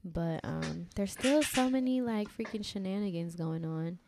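A young woman co-hosting a podcast in casual chat, a little congested from allergies, chatty and quick to laugh. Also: medium pitch, vocal fry, background noise. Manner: chatty, laughs often, lots of fillers. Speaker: podcast co-host.

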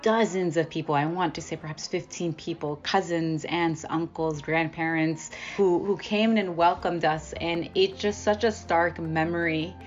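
A woman's voice: on the low side, fairly even intonation, a little slow, hardly any pauses, highs cut off. A woman journalist from the United States telling personal stories in a thoughtful, reflective way in an interview. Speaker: journalist guest.

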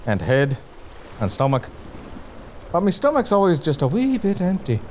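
A man's voice: fairly high pitch, wildly swooping pitch, moderate pace, a little hiss or hum. A man playing a hearty, food-loving, teasing sailor who talks colloquially in a comic audio drama. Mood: hearty, jolly. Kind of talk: gothic comedy audio drama dialogue.

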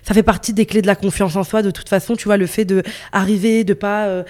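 A woman's voice: medium pitch, fairly even intonation, fast, a little mellow. A woman in her late twenties from northern Italy, a guest chatting casually and bubbly in an interview, laughing easily and full of fillers. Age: 20s.

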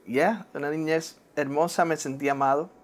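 A young man with a Puerto Rican Spanish accent, talking as an interview guest. He speaks hesitantly and warmly, keeps asking "¿verdad?", laughs easily and drops English words into his Spanish. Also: a little high, fairly even intonation, normal speed. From born in New Jersey, raised in Puerto Rico. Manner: hesitant, many "¿verdad?" tags, laughs often, mixes in English. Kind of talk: casual interview.

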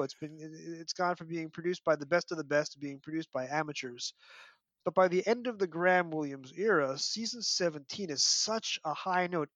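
A man's voice: a little high, fairly bright tone, no room sound. A man hosting a casual fan discussion, speaking in an emphatic, opinionated way. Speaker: podcast host.

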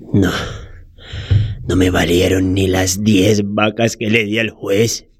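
An actor playing a weary old peasant father in a radio drama, haunted and self-justifying, speaking haltingly and ruminatively. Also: on the low side, slightly rough, clean studio sound, heavily acted. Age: older. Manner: slow, halting, ruminative delivery. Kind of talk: dramatic monologue, radio play acting. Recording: roomy echo, faint music behind.

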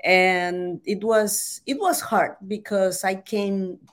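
Casting: Salvadoran-accented woman, Spanish native, hesitant interview guest, mid pitch. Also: fairly even intonation. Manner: halting, many restarts and fillers. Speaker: author and journalist guest.

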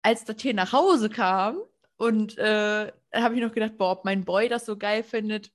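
A woman's voice: medium pitch, measured pace. A woman co-hosting a podcast, telling a story in a rambling, chatty way and often adding tag questions. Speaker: podcast co-host. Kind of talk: casual storytelling chat.

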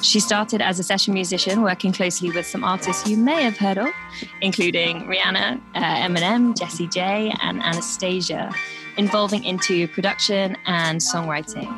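A woman hosting a podcast over a remote call, relaxed and asking open questions. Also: mid-range voice, moderate pace, rough recording. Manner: easygoing, asks open questions and lets the guest talk. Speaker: podcast host. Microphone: remote video call. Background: music bed.

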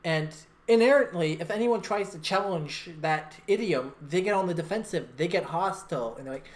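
A woman's voice: fairly low pitch, moderate pace. A woman co-hosting a podcast, chatting casually and giving her opinions in a blunt, sardonic manner. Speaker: podcast co-host.

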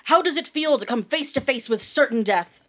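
An earnest female war correspondent, played by a woman in a radio drama. She has a high voice and reports in grand, florid phrases with dramatic weight. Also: lively intonation, brisk, a bit bright. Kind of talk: radio play reporting, scripted.

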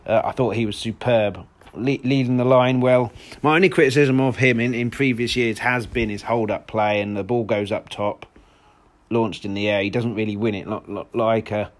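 Hoarse man chatting football. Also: lowish voice, steady tone, normal speed. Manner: rambling, frequent restarts and fillers. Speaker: football podcast host. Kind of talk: casual solo podcast chat.

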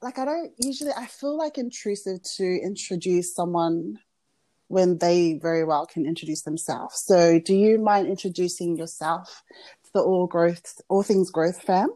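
A woman's voice: on the low side, normal speed, dark tone, no echo. A woman hosting a casual podcast interview, chatty and rambling, with lots of fillers and restarts. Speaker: podcast host.

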